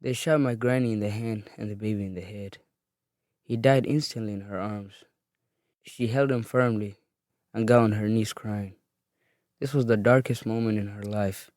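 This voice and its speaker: An eighteen-year-old man from Somalia reading his own life story in an earnest, steady way. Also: lowish voice, moderate pace, no room sound, clean studio sound. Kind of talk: read personal narrative.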